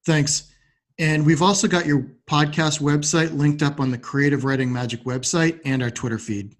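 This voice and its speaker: A man's voice: a little high, fairly even intonation, moderate pace. A man hosting an interview podcast in a courteous, articulate way. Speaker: podcast host. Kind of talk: interview hosting with scripted sign-off.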